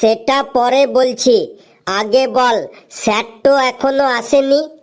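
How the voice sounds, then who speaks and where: A woman voicing a cheeky, bossy schoolboy show-off in a comedy cartoon, with a drawn-out, declaiming delivery. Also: high-pitched. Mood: sly, relieved. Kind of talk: Bengali comedy cartoon dialogue.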